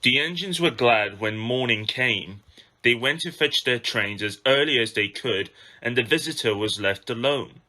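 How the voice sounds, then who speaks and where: Bright-voiced man reading a story. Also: mid-range voice, normal speed. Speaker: amateur storybook narrator. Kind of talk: scripted children's story narration.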